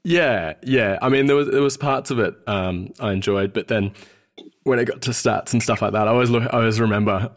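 An Australian man in his thirties chatting casually as a podcast guest, hesitant and searching for his words. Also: lowish voice. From Australia, born in New Zealand. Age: 30s. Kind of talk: casual interview chat.

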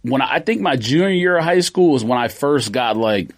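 A man's voice: medium pitch, lively intonation, a little fast, dry recording, clean studio sound. A man in his forties, a podcast host, chatting casually and rambling through memories, with many restarts and fillers. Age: 40s. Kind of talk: casual chat.

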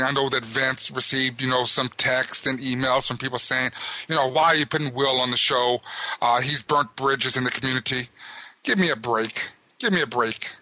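A man's voice: mid-range voice, sharp tone, no echo, poor audio. A man with a Southern US accent, co-hosting a podcast and ranting indignantly with emphatic repetition. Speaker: podcast co-host. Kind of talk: heated podcast rant.

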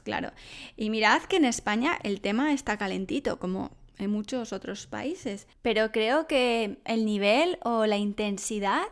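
Woman from Spain, Spanish accent, lively chatty storyteller, fairly high pitch. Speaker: vlogger living abroad. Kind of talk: casual chat to camera.

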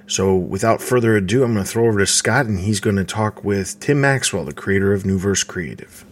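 A man hosting a podcast, giving a casual, somewhat halting introduction. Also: on the low side. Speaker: podcast host. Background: faint music.

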